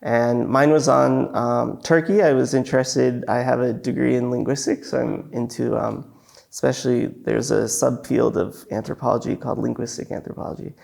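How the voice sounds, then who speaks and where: A young man from California, a guest on a casual podcast, talking in a relaxed, thoughtful way with frequent ums. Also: medium pitch, moderate pace, gravelly. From San Diego, California. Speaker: guest, PhD student.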